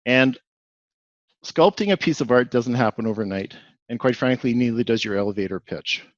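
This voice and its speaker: A man's voice: mid-range voice, normal speed, dry recording, highs cut off. A man from Canada with a Canadian accent giving a webinar presentation, rambling and restarting with lots of fillers.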